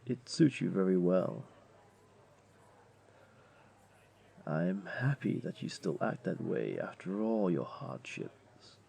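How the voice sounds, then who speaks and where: A man's voice: deep voice, very animated, unhurried, gravelly, mellow, breathy edge, full character voice. A man playing a wealthy, flirtatious jaguar beastling in a comforting audio roleplay, tender and teasing, with a coaxing, purring delivery. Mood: warm, admiring.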